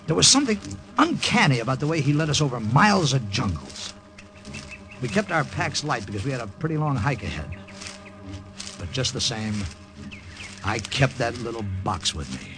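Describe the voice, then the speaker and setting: Lively, gravelly American wisecracking lieutenant. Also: male voice, medium pitch, moderate pace, pauses often, over music. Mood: wry narrating. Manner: jokey, conversational. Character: wisecracking male American lieutenant, excitable storyteller. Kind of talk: 1940s-50s radio drama acting and narration. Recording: vintage radio recording.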